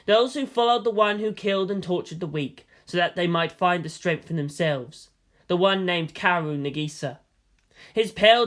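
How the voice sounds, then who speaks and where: High-voiced man narrating a story. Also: a bit bright. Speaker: reader of a fan fiction story.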